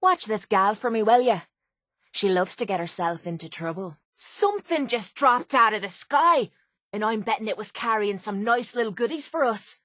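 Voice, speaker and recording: A woman's voice: mid-range voice, lively intonation, moderate pace, a bit bright, no echo, studio quality. A woman playing a tough, cocky young brawler in a video game, with an Irish accent and a blunt, sardonic manner. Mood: casual, wry. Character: tough, brash, foul-mouthed young woman brawler and mercenary. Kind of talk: video game companion dialogue.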